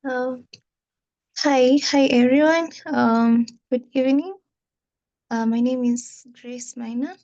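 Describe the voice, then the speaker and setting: Kenyan woman, slow, mellow, kinda muffled call audio, lots of um. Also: high voice. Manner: soft, hesitant, many "um" fillers. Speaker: course participant. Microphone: video call.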